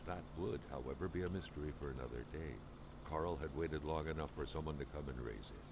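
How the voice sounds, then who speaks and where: A man voicing the narrator of a detective adventure game, telling the story in a dry, measured way with a gravelly voice. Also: extremely low pitch, noisy background, full character voice. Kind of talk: scripted narration.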